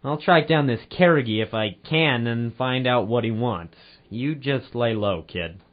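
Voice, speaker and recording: A man playing a wry, deadpan private eye narrating his case in 1940s radio noir style. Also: mid-range voice, unhurried, natural voice. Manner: sardonic, deadpan. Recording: dull lo-fi audio with loud music.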